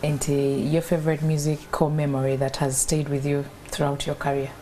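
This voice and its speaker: Woman interviewer, Ugandan accent, very low voice, code-switching questions, faint hiss. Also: completely flat delivery, moderate pace, warm tone. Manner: asks questions, mixes Luganda and English, brief backchannels. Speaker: interviewer host. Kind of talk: casual interview.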